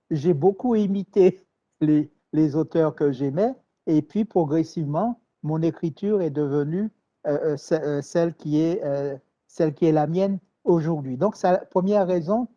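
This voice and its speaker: Mellow-voiced man, measured and reflective. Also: on the high side. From Martinique. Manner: measured, thoughtful, with hesitations and repeated words. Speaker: writer, interview guest. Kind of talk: reflective interview answer. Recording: dull lo-fi sound.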